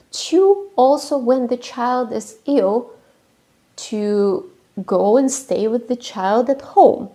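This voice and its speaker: Slow-talking woman, chatty and frank. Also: a little high, dark tone. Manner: chatty, hesitant with many fillers and restarts. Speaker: YouTuber. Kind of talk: casual political commentary.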